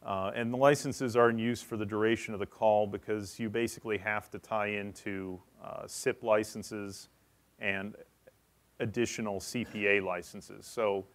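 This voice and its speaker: Man, lowish pitch, conference speaker, technical presentation, matter-of-fact, explanatory.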